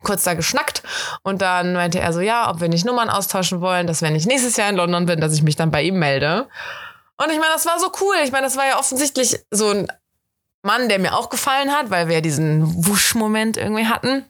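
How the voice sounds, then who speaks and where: A young woman from Germany, hosting a podcast, telling a story in casual, chatty fashion and acting out the quoted bits of dialogue. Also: fairly quick. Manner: chatty and animated, voices quoted dialogue with big pitch jumps. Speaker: podcast host.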